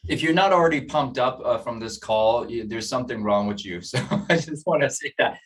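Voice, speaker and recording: A man's voice: medium pitch, a little fast. Guy hosting a chat, keen, keeps restarting his questions, sounds far off the mic. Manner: enthusiastic, stumbling restarts. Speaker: podcast host. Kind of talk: casual interview chat. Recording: distant mic.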